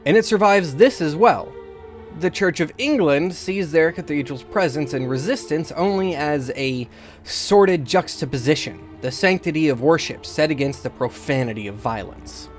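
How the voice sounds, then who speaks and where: A man's voice: fairly high pitch, animated, moderate pace, faint music behind. A man reading formal scripted prose aloud.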